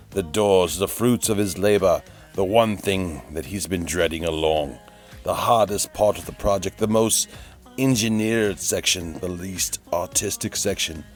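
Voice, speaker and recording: A man giving a melodramatic, whimsical storybook-style narration of a DIY build, repeating phrases for effect, in a gravelly voice. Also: sits low. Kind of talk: theatrical storybook-like narration of a hobby build video. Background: music.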